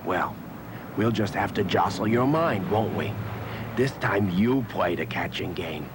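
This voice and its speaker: A man's voice: low-pitched, lively intonation, measured pace, background noise. A man playing a zany, theatrical joker who announces like a game-show host on a children's educational puppet show. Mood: playful.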